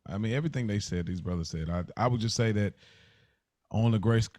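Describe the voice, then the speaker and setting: Slightly low male co-host, dry sound. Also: a little fast, dark tone, no room sound. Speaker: podcast co-host. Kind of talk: casual podcast conversation.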